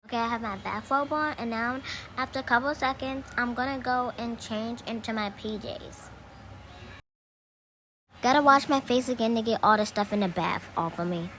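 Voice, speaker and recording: Female, highish pitch, informal halting roleplay narration, clearly noisy recording. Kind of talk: casual voiceover narrating a game roleplay routine.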